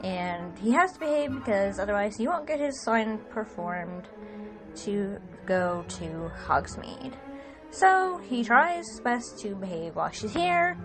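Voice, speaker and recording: Animated woman host over music. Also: medium pitch, a little slow. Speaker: host and co-director introducing the production. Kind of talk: introduction and story recap.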